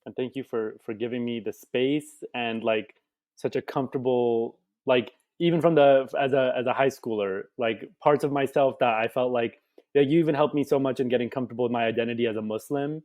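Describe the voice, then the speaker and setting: A young man from the United States, a guest on a podcast, talking casually with lots of "like" and letting his sentences trail off softly. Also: medium pitch, steady tone, fairly quick. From USA. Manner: casual, many "like" fillers, soft trailing delivery. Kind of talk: casual conversation.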